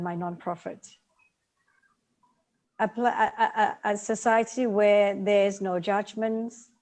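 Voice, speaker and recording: A woman's voice: medium pitch, a little mellow. Earnest woman from Vietnam, repeating key words. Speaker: guest author. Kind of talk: live video interview.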